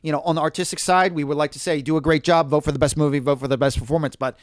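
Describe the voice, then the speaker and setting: A man's voice: on the high side, racing, dry recording, studio quality. A man co-hosting a podcast, talking casually and animatedly, often acting out quoted lines. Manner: animated, quotes people in acted asides. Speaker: podcast co-host.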